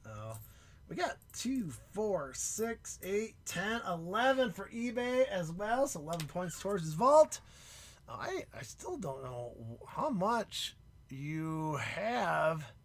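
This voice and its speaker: A man hosting a live stream in casual chat, excitable and prone to loud shouts and laughter, and losing his voice. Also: sits high. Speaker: livestream host.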